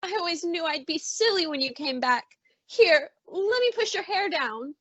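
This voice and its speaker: High bright doting mother played by a woman. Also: animated, moderate pace. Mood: tender, doting. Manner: fussing, cooing endearments. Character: doting, emotional middle-aged mother, fussing and tender. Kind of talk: old-time radio suspense acting.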